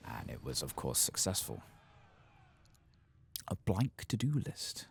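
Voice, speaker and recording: A man softly murmuring low-key ASMR game commentary to himself, breathy and gravelly, often slipping into a whisper. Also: big pitch swings, takes it slowly. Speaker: streamer playing a video game.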